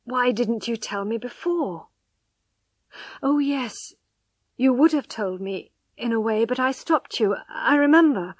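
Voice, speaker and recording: A woman voicing a shocked, bitter husband in a dramatic story, stern and halting. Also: a little high, animated, slightly rough, no echo, full character voice. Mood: stunned disbelief. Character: stunned husband, bitter, cold, unforgiving, bewildered.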